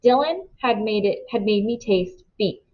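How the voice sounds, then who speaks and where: A woman reading a children's novel aloud with expressive, first-person storybook narration. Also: mid-range voice, unhurried, lo-fi sound. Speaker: storybook reader.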